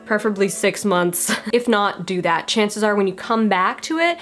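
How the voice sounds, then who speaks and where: A young woman giving lively writing advice to the camera from her room at home, laughing often and sounding a little hoarse. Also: medium pitch, moderate pace, faint music behind. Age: young adult. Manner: animated, laughs often, gestures a lot. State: slightly hoarse, losing her voice. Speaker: writing vlogger. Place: home room with bookshelves, indoors. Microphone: camera mic, head-and-shoulders framing.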